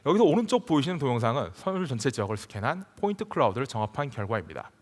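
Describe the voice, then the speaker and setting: A man's voice: mid-range voice, big pitch swings, racing, darkish tone. Man presenting formally in a reverberant hall. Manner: formal, scripted delivery. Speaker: conference tech speaker. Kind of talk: prepared technical presentation. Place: large conference hall.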